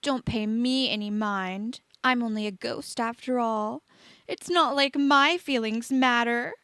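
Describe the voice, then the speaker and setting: A woman plays a teenage girl ghost from a storybook, high-voiced and full of self-pity. She is whiny, sulky and theatrical, turning every line into melodrama. The sound is close and dry. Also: unhurried, fairly bright tone, clear, resonant tone, no room sound. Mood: sulky, gloating.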